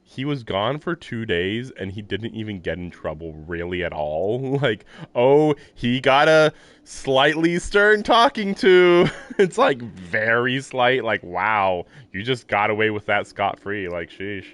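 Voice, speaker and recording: A man's voice: fairly low pitch, lively intonation, a little slow, fairly bright tone, no echo. A man streaming a game, chatting casually and reading its text aloud, laughing often and full of fillers.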